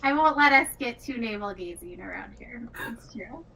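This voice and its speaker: Bright, higher-voiced woman, slightly distant. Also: expressive, normal speed, vocal fry. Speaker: game writer and director. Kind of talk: casual panel talk. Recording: distant mic with faint noise.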